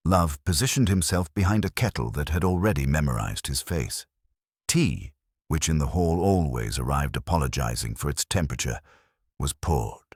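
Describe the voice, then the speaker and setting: A British man reading literary mystery narration in a measured, formal, dryly wry manner. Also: extremely low pitch, no room sound, clean studio sound. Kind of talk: literary audiobook-style scripted narration of a mystery story.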